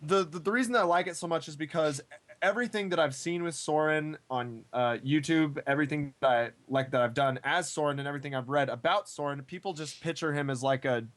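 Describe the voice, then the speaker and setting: A man's voice: a little high, a bit bright. A man chatting casually as a participant in a group podcast, rambling and stammering as he repeats his words. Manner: rambling, halting, stammering repetitions. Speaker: podcast participant, amateur voice actor.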